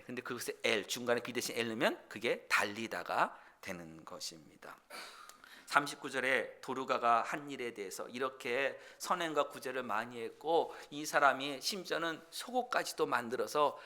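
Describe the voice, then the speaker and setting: Bright-voiced Korean-speaking pastor preaching. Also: male voice, mid-range voice. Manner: earnest preaching that swings from loud, pleading passages to quiet explanation. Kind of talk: sermon. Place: church sanctuary.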